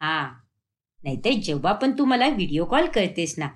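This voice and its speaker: A woman voices an old village grandmother in a cartoon moral story. She is plain-spoken and supportive, with a motherly manner and expressive pitch. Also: moderate pace. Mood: approving.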